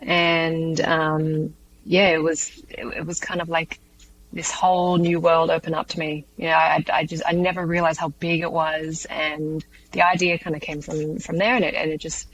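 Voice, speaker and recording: A woman with an Australian accent answering interview questions, thoughtful, with many pauses and "um"s. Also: low-pitched, slight background noise, low-quality recording. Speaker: guest, business founder.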